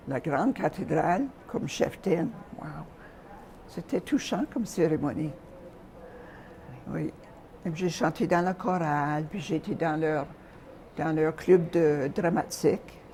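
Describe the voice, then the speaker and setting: An older woman from Calgary, Canada, recalling her childhood and early teaching years as an interview guest, speaking softly with frequent hesitations and pauses. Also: sits low, animated, a little hiss or hum. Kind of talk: reminiscing in an interview.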